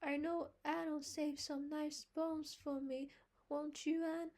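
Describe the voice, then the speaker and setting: A woman's voice: sits high, flat delivery, slow, darkish tone, pitched above the performer's own voice. A woman reading aloud, voicing a mischievous little boy who whimpers and pleads. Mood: wheedling.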